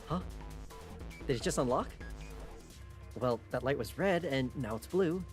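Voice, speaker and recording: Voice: mid-range voice, animated, measured pace, soft background music. A streamer playing a game with a co-host, reacting expressively and reading character lines aloud.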